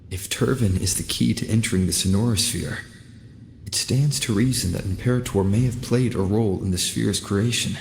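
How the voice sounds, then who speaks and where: Earnest young wanderer for a video game. Fairly low, serious, thoughtful delivery over faint background ambience. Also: male voice, darkish tone, slight background noise. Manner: measured, serious, reasoning aloud.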